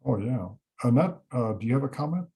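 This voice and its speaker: A man's voice: mid-range voice, lively intonation, a little fast, dark tone, low-quality recording. A man moderating a casual online reading-group discussion, talking loosely with many fillers and inviting others to speak over a video call.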